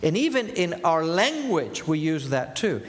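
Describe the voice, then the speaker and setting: A man in his fifties with an Argentine Spanish accent delivers an earnest sermon. His voice sits a little higher than most men's. He poses rhetorical questions and answers them, and his words trail off into a long hall reverb. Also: big pitch swings, measured pace. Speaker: preacher.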